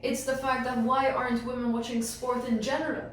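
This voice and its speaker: A woman with a slightly high voice speaks in a casual panel discussion, emphatic and chatty. She is recorded in a very reverberant room, well away from the mic, with some faint noise. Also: level tone, a little fast, recorded from a distance. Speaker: panelist in a sports team discussion.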